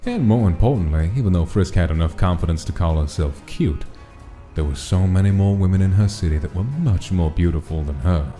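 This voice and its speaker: A man's voice: deep voice, expressive, a little fast, warm tone. A man reading a scripted, dramatic story as its narrator. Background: background music.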